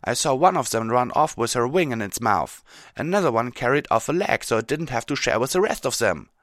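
A man voicing a hot-headed, proud female cartoon pony with a forceful, defiant delivery. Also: medium pitch, lively intonation, a little fast, dry recording, clean studio sound, pitched above the performer's own voice, natural voice. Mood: angry, defiant.

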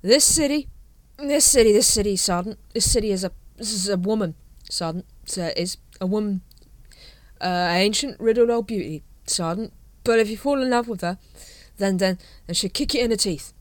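A woman's voice: mid-range voice, normal speed, no room sound, pitched above the performer's own voice, close to an everyday voice. A woman voicing a drunken male night-watch captain who rambles and repeats himself. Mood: drunk rambling.

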